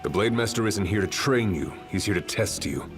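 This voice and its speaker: An actor playing a hardened veteran warrior mentor in a video game, grave and wry, speaking tersely. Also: male voice, fairly low pitch, expressive, moderate pace. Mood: stern, wry. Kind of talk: video game cutscene acting. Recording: dramatic game music behind.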